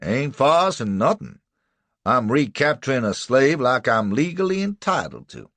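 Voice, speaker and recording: Crude menacing manhunter, loud and defiant, played by a male narrator. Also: medium pitch, sing-song, unhurried, dry recording, pitched above the performer's own voice, exaggerated voice. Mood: threatening. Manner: rough drawling slang, defiant. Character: swaggering crude male slave catcher.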